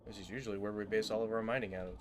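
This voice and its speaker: A man with a lowish voice and a stuffed-up nose talks to his live viewers in a loose, easygoing way. He leans on "uh" and "right?" as he plays. A faint hiss sits under his voice. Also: very fast. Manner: relaxed, chatty, lots of fillers and "right?". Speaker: gaming streamer. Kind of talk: casual live-stream chat while playing.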